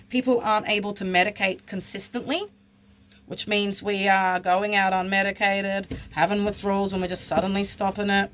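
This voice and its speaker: A woman's voice: medium pitch, a bit bright, a little hiss or hum. A woman, talking bluntly and with frustration in a personal vlog. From Australia. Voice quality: husky. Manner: blunt, frustrated, swears freely. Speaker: vlogger.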